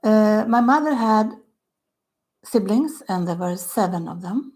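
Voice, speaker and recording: An older woman with a Swedish accent, speaking English in halting phrases, her voice dropping to a soft near-whisper at the ends of phrases as she talks about her own book. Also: mid-range voice, animated. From Sweden. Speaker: author. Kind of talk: self-recorded book talk.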